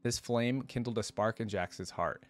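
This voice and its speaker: A man hosting a reaction video, reading lore aloud and adding casual, informal asides as he thinks out loud. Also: lowish voice, measured pace.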